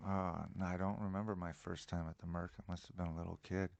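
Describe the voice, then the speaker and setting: A man from Colorado, a musician and podcast guest, telling a childhood story in a relaxed way with lots of fillers. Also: low-pitched, fast, gravelly, dry recording, highs cut off. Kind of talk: casual storytelling.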